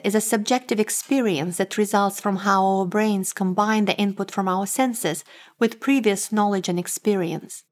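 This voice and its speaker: A woman from Moldova, a native Romanian speaker with a Moldovan Romanian accent, giving a measured, scripted talk as an author and professor. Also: medium pitch, a little mellow.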